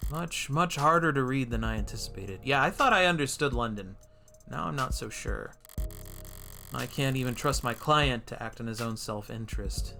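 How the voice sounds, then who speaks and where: A man's voice: mid-range voice, gravelly, bright, forceful tone. Man reading as a brooding noir defense attorney. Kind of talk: noir visual novel narration.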